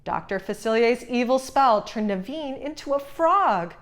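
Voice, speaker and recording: A woman's voice: a little high, animated, unhurried. A woman narrating a scripted children's story as a read-aloud. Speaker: storybook reader.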